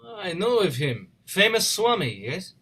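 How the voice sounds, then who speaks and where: Man acting eccentric immigrant inventor, highish swooping pitch, courteous, clipped, period stage drama. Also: sing-song, measured pace, fairly bright tone, husky, exaggerated voice. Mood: earnest, reserved. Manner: clipped phrasing with dropped articles, courteous. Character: eccentric, formal immigrant male scientist, earnest and awkward. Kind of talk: play reading, period drama. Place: indoors, remote online reading. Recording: video call.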